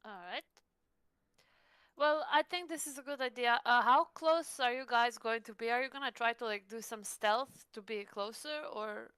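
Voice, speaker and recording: Bright, high-voiced woman at a game table. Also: moderate pace, clean tone, no echo. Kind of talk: casual table talk, asking questions.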